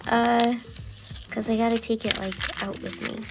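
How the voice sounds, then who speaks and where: A young woman chatting casually while she journals, rambling with many fillers and sometimes dropping into a soft half-whisper, her voice still a little phlegmy from a cold. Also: fairly high pitch, flat delivery, unhurried, music in the background. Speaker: journaling vlogger.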